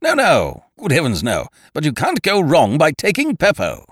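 A man voicing a booming, hearty and effusive male chancer in a humorous audiobook, with emphatic delivery. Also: wildly swooping pitch, normal speed, fairly bright tone, husky, dry recording, clean studio sound, heavily acted. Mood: booming, salesmanlike. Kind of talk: humorous audiobook character dialogue.

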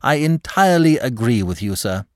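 A man voicing a suave, impudent young gentleman clerk for an audiobook, in flowery, ingratiating, over-polite speech. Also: medium pitch, very animated, normal speed, dry recording, clean studio sound, pitched above the performer's own voice, close to an everyday voice. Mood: smooth, self-assured. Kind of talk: audiobook character dialogue.

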